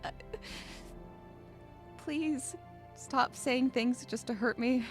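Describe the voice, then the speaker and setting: A woman's voice: high-pitched, drawn-out words, music in the background. A woman playing an anxious, withdrawn young woman who narrates her own story in a dramatic, introspective and sometimes hesitant way. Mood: strained, hesitant. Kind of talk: first-person dramatic narration with dialogue.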